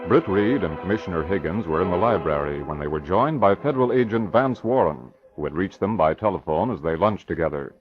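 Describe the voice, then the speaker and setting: A man's voice: sits low, lively intonation, brisk, few pauses, faint music behind. A man narrating an old-time radio crime drama in scripted storytelling style. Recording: muffled, noisy old radio recording.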